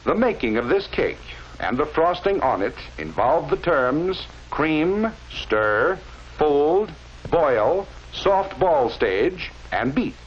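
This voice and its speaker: Higher-pitched 1940s instructional film man, dull soundtrack. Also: very animated, a little hiss or hum. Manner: measured, didactic, enunciated. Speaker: training film narrator.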